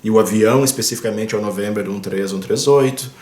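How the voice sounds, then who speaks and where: A man, a pilot who hosts aviation videos, explaining things in a casual, chatty way from home, often tagging sentences with quick confirming fillers and pointing things out on a screen. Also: fairly low pitch, darkish tone, roomy sound. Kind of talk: casual explanatory vlog talk. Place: at home, indoors.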